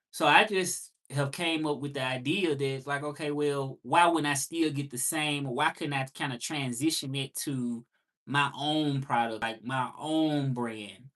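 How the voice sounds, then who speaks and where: A man's voice: medium pitch, fairly bright tone. Southern man musing on a livestream. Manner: reflective, repeats key phrases for emphasis. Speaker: livestream host. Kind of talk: casual live monologue.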